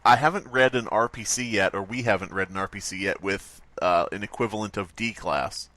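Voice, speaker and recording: A man's voice: on the low side, brisk, bright, forceful tone. A man co-hosting a casual podcast, joking and thinking aloud with fillers. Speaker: podcast co-host.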